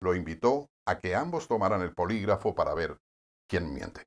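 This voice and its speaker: Puerto Rican male host, scripted news commentary, lively pitch, sardonic. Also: moderate pace. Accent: Puerto Rican Spanish. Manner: wry, sardonic editorial delivery.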